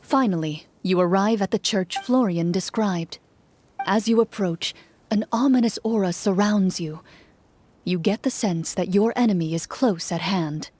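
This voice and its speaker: A woman's voice: medium pitch, a little mellow, close to an everyday voice. Woman as solemn, composed fantasy game champion. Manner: measured, solemn. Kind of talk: fantasy game narration and acting.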